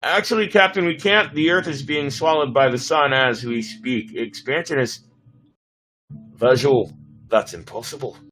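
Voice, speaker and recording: A man playing a grim, cautious starship commander in a sci-fi dramatic reading, serious and urgent, with a husky voice. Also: medium pitch, a little slow, a bit bright, lightly acted, rough recording.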